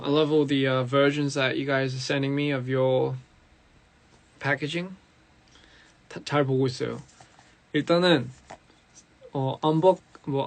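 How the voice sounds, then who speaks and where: A young man with a raspy, hoarse voice, chatting casually as a musician on a live stream and switching between English and Korean. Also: mid-range voice. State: hoarse after a recording session.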